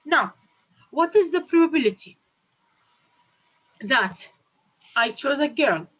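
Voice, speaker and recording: Woman, math teacher, questions her class, high-ish voice, lo-fi classroom sound. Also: animated, a bit bright. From Jordan. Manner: slow, repetitive questioning of students. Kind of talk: classroom lesson.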